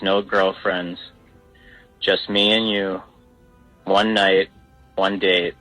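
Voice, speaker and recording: A man reading out a prepared, earnest confession on a radio call in a measured way. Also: sits low, pushed and bright, rough recording. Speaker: caller reading a prepared speech.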